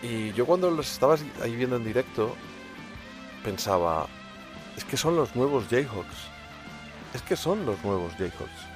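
A man's voice: mid-range voice, very animated, a little slow, pauses often. A man hosting a radio music show, chatting casually, teasing his co-host and talking up bands.

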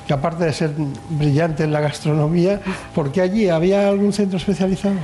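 A man's voice: fairly high pitch, steady tone, brisk, mellow, a little hiss or hum. A man hosting a health radio show, introducing topics and interviewing in a conversational way, often repeating his phrases.

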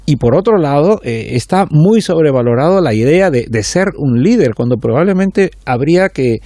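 A man's voice: mid-range voice, warm tone, no echo, studio quality. A man who teaches at a university, speaking reflectively as a guest on a panel discussion. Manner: reflective, lecturing.

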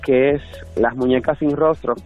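A male interview guest with a Dominican accent speaks conversationally in a medium-pitched voice. The sound is narrow and phone-like, with light music underneath. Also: fairly even intonation, moderate pace. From Dominican Republic. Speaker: interview guest, artist and designer. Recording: thin phone-like band, faint music.

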